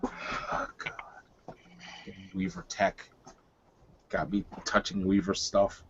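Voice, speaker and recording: A man voicing a wry, gruff, teasing male werewolf pack leader in a tabletop game, speaking in a dry, deliberate way. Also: deep voice, flat delivery, lo-fi sound, slight background noise. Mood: baffled, grumbling.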